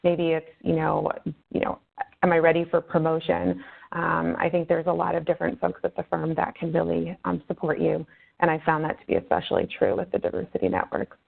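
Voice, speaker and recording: Low gravelly woman on a phone line. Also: a little fast. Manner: relaxed, many fillers. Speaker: manager at a consulting firm. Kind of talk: webinar self-introduction. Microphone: conference call line. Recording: phone-line webinar audio.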